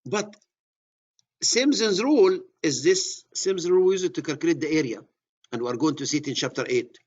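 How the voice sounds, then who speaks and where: Egyptian-accented lecturer, husky voice. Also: male voice, a little high, brisk, a little mellow, lo-fi sound. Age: middle-aged. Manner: repeats key words, restarts phrases. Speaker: surveying instructor. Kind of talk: classroom lecture.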